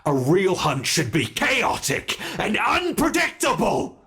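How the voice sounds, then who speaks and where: A British man doing a gravelly taunting bed monster. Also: high-pitched, wildly swooping pitch, bright, forceful tone, full character voice. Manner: slow, taunting, menacing, drifting into whispers. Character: sadistic male monster that hunts from under the bed. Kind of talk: ASMR horror roleplay.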